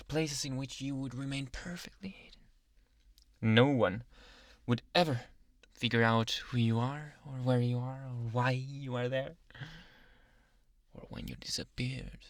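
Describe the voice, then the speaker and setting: A man voicing a possessive, obsessive yandere doctor in an anime ASMR boyfriend roleplay, speaking softly and coaxingly, often in whispers. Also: medium pitch, vocal fry, no room sound. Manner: soft, intimate, coaxing, often dropping into whispers. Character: possessive, obsessive male yandere doctor, sweetly menacing lover. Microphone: close microphone.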